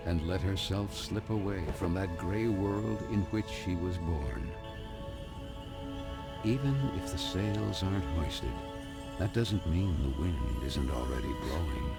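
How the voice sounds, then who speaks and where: A man playing a grave storybook narrator, reading scripted narration in a gravelly voice for an animated game. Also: low voice, heavily acted. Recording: loud music behind the voice.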